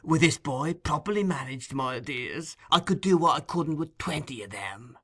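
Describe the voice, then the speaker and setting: A man voicing a crafty old gang master in an audiobook, with a shrill, eager, wheedling way of talking. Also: animated, moderate pace, sharp tone, husky, exaggerated voice. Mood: wheedling, persuasive. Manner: eager, insinuating, fawning.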